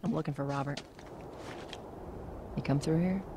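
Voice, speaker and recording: A woman's voice: low voice, fairly even intonation, normal speed, dark tone, a little hiss or hum, lightly acted. An actress playing a tough, determined smuggler woman in a dramatic video game. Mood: firm, defiant.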